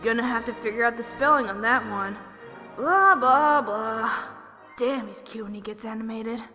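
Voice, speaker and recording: A woman's voice: a little high, normal speed, sharp tone, big-room sound, soft background music. A woman voicing a brash, scheming, flirtatious pegasus adventurer in a fan fiction audio drama, with cocky, smug asides.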